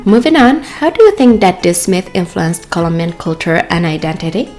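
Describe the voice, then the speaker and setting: A woman hosting a podcast, reading her introductions and questions in a measured, scripted way. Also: lowish voice, big pitch swings, over music. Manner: measured, read-aloud introductions. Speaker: podcast host.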